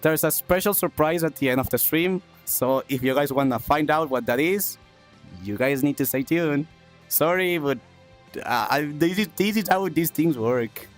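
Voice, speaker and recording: A man's voice: fairly high pitch, moderate pace, soft background music. A man from South America hosting a livestream, chatting casually with viewers, thanking them and repeating himself, while coughing from chest congestion. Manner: upbeat, repeats phrases, thanks viewers warmly. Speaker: streamer and talk show host.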